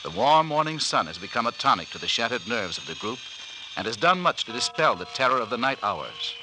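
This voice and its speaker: A man narrates a radio serial in a theatrical, solemn 1940s manner. His pitch moves in wide, animated sweeps. The old broadcast recording is clearly noisy. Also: a little fast. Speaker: announcer and narrator.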